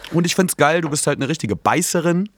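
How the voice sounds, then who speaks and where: A man's voice: fairly high pitch, lively intonation, fairly quick, no room sound. A man teacher co-hosting a casual podcast, joking and slangy.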